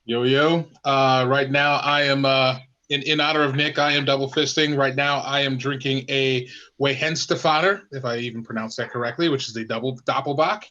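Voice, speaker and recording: A man co-hosting a podcast, laid-back and halting with fillers. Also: medium pitch, fairly even intonation, bright, forceful tone, lo-fi sound. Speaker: podcast co-host. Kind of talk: casual chat.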